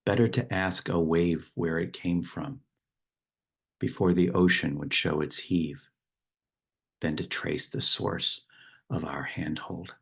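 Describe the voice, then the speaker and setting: A man leading a guided meditation and reading verse, speaking slowly and softly with long pauses. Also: low-pitched, fairly even intonation, vocal fry, husky. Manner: slow, unhurried, soft instruction with long pauses. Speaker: meditation teacher.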